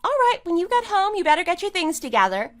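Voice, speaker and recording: A woman's voice: very high voice, big pitch swings, fast. A woman playing a scornful, triumphant wife in an audio drama, with a sarcastic, emphatic delivery. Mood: smug, matter-of-fact. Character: wronged wife, scornful and triumphant, kicking out cheating husband. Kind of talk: amateur audio drama acting.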